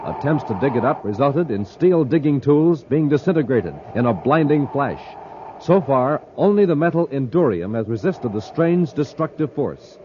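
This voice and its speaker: A man's voice: mid-range voice, sing-song, normal speed. A man announcing and narrating in a dramatic 1950s radio style. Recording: noisy, dull old radio recording.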